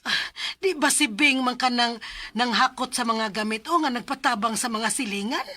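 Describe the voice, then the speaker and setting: A nosy Cebuano neighbor woman in a 1990s radio drama. Her high, bright voice has a husky edge, and she talks in a chatty, insinuating way over faint background music. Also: normal speed. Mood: gossipy. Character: gossiping female neighbor, nosy and eager.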